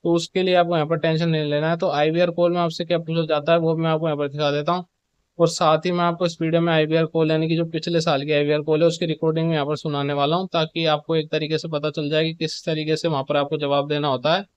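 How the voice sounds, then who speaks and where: A man's voice: on the high side, level tone, fast talker, clean tone, no echo, lo-fi sound. A man presenting updates in an informal, instructional explainer style, with repetitive phrasing. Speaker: video presenter giving show updates.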